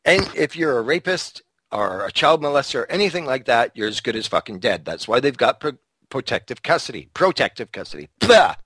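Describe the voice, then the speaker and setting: A man's voice: mid-range voice, sing-song, a little fast, a bit bright, breathy edge, no room sound. A man with a mid-range voice talks bluntly and provocatively, swearing as he goes. He co-hosts a casual podcast, and his voice sits dry and close with almost no room sound. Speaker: podcast co-host. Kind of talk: casual opinionated chat.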